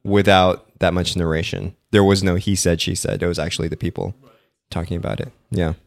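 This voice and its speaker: A young man with a low voice chats loosely on a podcast, dropping fillers and slipping into mock quotes. The sound is very dry and close, with no room around it. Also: fairly even intonation, fairly quick, gravelly, no echo. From Philippines. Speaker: podcast co-host. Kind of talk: casual chat.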